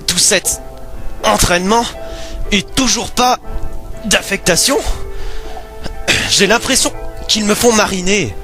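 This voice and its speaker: A man's voice: high-pitched, slow pace, pauses often, pushed and bright, faint music behind, heavily acted. A man voicing an eager, impatient, boyish young soldier, cheeky and informal, in an amateur video game dub. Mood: sulky.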